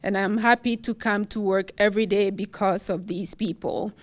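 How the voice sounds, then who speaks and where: A woman's voice: medium pitch, steady tone, normal speed. Ghanaian-accented woman giving an acceptance speech. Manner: warm, laughs often, with fillers. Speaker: award-winning professor giving acceptance speech. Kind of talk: speech at a podium. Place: university event hall.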